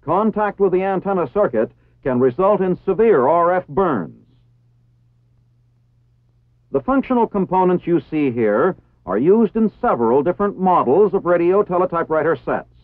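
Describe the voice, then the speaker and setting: A man with an American accent reading formal, scripted instructional narration in a measured, precisely enunciated way. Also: high-pitched, big pitch swings, no echo. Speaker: training film narrator. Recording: tinny phone-like 1960s film soundtrack.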